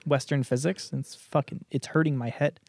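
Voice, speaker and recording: A man's voice: mid-range voice, fairly even intonation, a little fast, vocal fry, darkish tone, dry recording, clean studio sound. A young man from Canada co-hosting a podcast, chatting casually, swearing often and laughing along. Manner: laid-back, swears a lot, laughs often. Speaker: podcast co-host. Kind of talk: casual chat.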